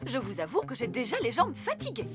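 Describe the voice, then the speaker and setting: Nounou fée pince-sans-rire, voix chantante. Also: female voice, high voice, wildly swooping pitch, brisk, music in the background. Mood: dry, patient. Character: dry, put-upon fairy nanny, sensible and unflappable. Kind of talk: animated children's cartoon dub.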